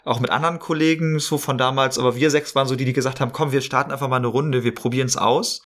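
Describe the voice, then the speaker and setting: A man's voice: mid-range voice, steady tone, quick pace, fairly bright tone. A man from the Hamburg area talking casually as a podcast guest. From Hamburg area, Germany. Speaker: podcast guest, player and editor. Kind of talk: casual chat.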